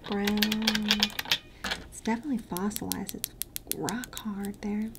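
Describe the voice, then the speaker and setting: A woman whispers and speaks softly in an ASMR roleplay, taking her time over each phrase. Her voice has a gravelly fry. A steady hiss sits behind her. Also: medium pitch. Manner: whispered and soft-spoken, slow and gentle. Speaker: ASMR creator. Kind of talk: soft-spoken ASMR roleplay. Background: tapping and clicking sounds.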